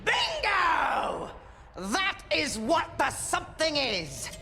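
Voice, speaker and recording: A man's voice: very high-pitched, huge pitch swings, takes it slowly, exaggerated voice. A man playing a mocking, manic demonic jester in a video game cutscene, theatrical and singsong in delivery. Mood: mocking glee. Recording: loud music over the dialogue.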